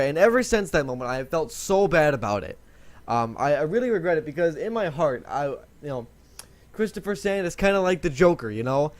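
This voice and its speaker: A young man gives chatty, rambling commentary while he plays a game, often stopping to correct himself. His voice sits a little high for a man and swoops up and down a lot, though he says it is lower than usual today. The sound is close and dry. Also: dry recording. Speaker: gaming video host. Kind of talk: casual let's-play commentary.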